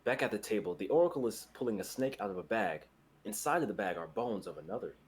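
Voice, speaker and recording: A man's voice: on the low side, expressive, fast, a little hiss or hum. A young man reading scripted dramatic fantasy narration for a livestream. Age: young adult.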